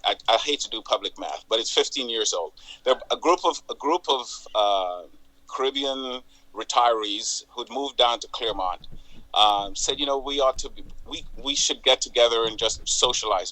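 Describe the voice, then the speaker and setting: An older man with a Jamaican accent, a community group president telling his story in a measured, halting way as a TV interview guest. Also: fairly low pitch, sharp tone, poor audio. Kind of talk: interview on local TV.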